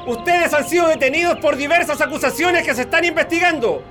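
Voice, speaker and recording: A man's voice: very high voice, a little fast. A man playing a stern military officer in a radio drama, addressing prisoners in a formal, commanding, declamatory way. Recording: loud music under the voice. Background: loud music.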